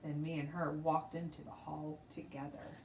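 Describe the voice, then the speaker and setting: A woman teacher reading a children's storybook aloud in an expressive, deliberate way. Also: low-pitched, creaky. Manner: deliberate, animated read-aloud. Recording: roomy, distant mic, faint noise.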